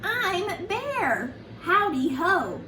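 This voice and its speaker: A friendly, curious storybook bear played by a woman calls out in a very high, swooping voice. He draws his words out in a sing-song way, eager to make friends. It is a roomy recording, a little away from the mic. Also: big pitch swings, extremely slow, exaggerated voice. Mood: friendly, inviting. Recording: roomy, distant mic, faint background noise.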